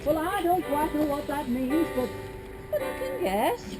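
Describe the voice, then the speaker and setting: A woman's voice: sits high, big pitch swings, a little slow. A woman playing a folksy stage manager who talks to the audience and stands in as a minister. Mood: wry. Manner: chatty, wry aside to audience. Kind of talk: stage play performance. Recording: loud music under voice.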